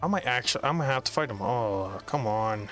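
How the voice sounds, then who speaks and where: A man's voice: medium pitch, animated, fairly quick, fairly bright tone, soft background music. A man giving casual gameplay commentary, muttering sardonic, sometimes profane remarks to himself as he plays. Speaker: gamer playing and commenting.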